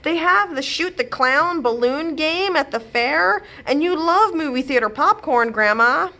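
Woman reading as a squeaky, lively storybook kid, pleading, big pitch swings. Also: unhurried, full character voice. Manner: pleading, excited. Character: eager young child begging for outings.

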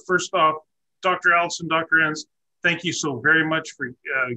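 A man's voice: on the high side, bright, forceful tone. A man in his forties moderating a school district town hall over a video call, courteous and full of fillers. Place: office with framed certificates.

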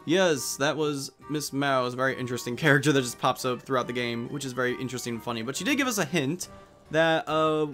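A man's voice: medium pitch, a bit bright. A man hosting a casual video game playthrough in a rambling, chatty way. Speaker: Let's Play host. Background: video game music.

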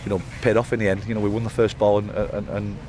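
Fast-talking football manager, noisy pitchside. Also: male voice, flat delivery. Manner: rambling, frequent restarts and fillers. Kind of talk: post-match interview. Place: outdoors by a floodlit football pitch at night. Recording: outdoor interview with loud background noise.

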